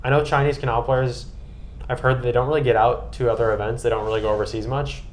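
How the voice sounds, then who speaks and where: A man's voice: mid-range voice, little pitch movement, fast talker, a little hiss or hum. An American man chatting casually and earnestly on a podcast, with an enthusiastic manner. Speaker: podcast guest and co-host recapping a trip.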